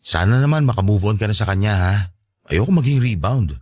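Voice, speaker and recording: A man playing a gentle, forgiving, insecure boyfriend in an audio love-story drama, soft-spoken and placating, with a Filipino accent. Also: lowish voice, lively intonation, a little fast, no room sound, studio quality. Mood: gentle, unsure.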